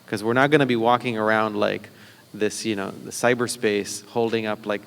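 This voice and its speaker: Gravelly man on a conference panel. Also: on the low side, fairly even intonation, big-room sound. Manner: fast, technical, conversational with many fillers. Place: conference hall.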